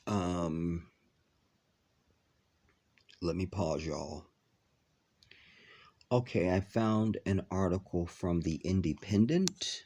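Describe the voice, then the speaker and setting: Congested male vlogger, deep husky voice, slow rambling delivery. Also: dark tone. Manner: rambling with many ums, drawn-out words. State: congested from a cold. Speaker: video reaction host. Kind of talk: casual live reaction vlog.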